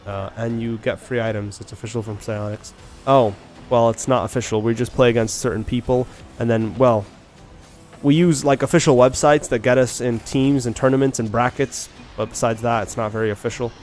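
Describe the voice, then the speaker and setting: A man's voice: medium pitch, fairly even intonation, fairly quick, a little mellow, faint music behind. A young man chatting casually with viewers on a game stream, rambling and thinking aloud with many restarts and questions. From Ireland. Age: young adult. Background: faint background beats.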